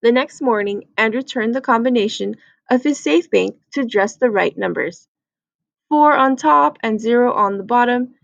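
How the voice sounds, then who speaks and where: A woman's voice: a little high, normal speed, gravelly, no room sound, muffled recording. A woman reading a children's book aloud as a storyteller.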